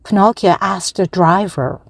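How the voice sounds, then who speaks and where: Woman narrator, very slow and deliberate, clear storybook reading, dry sound. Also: on the low side, dry recording. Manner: slow, careful, evenly paced reading. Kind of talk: slow read-aloud for language learners.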